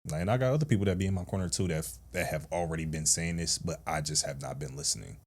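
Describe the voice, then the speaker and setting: A man's voice: fast talker, a little mellow, husky. A man co-hosting a podcast, talking candidly and earnestly in casual slang. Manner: earnest, candid, slangy, with a deep stern register. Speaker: podcast co-host.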